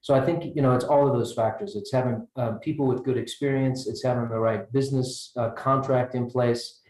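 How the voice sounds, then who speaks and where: A man's voice: mid-range voice, fairly even intonation, fairly quick, darkish tone. American man on a roomy panel. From United States. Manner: hesitant, repeats words while thinking. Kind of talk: panel discussion. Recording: roomy echo.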